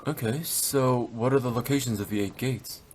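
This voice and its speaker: A man voicing a calm young traveler. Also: medium pitch, moderate pace, slight background noise, natural voice. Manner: plain, direct questions. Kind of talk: video game voice acting.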